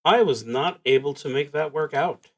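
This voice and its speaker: A man thinking aloud as he narrates a solo tabletop roleplaying game, in a halting, musing, wry way. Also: on the high side.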